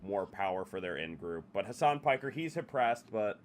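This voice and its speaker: Low-voiced young man ranting bluntly. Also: animated, normal speed. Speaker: podcast host. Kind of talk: casual podcast chat.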